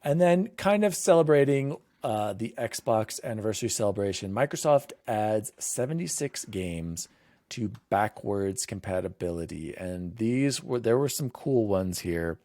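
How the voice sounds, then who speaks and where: A man's voice: fairly low pitch, animated, a little slow, warm tone, no room sound. A man hosting a gaming podcast, chatting casually and reading out news lists in an informal, conversational way. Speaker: podcast host.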